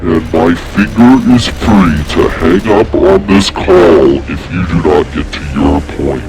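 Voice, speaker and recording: A man putting on a slow, ominous, gravelly voice to threaten a caller. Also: low-pitched, sing-song, loud music behind the voice, pitched below the performer's own voice, heavily acted, poor audio. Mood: menacing. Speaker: stream host.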